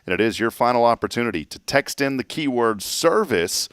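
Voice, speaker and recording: A man's voice: mid-range voice, expressive, a little fast, a bit bright, clean tone. A man hosting a morning radio show in casual, jokey, upbeat banter.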